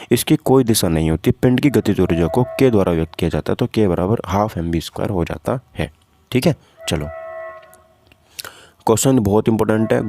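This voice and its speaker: Low-pitched man teaching, gravelly voice. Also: warm tone, dry recording. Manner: asks questions and answers them himself. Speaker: physics teacher for exam preparation. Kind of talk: informal lesson working through practice questions step by step.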